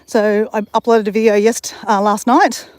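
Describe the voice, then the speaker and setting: A woman's voice: mid-range voice, flat delivery, normal speed, no echo. An Australian woman chatting casually to her channel while out walking, rambling with fillers and restarts. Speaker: vlogger. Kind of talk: casual walking vlog chat. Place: outdoors on a walk.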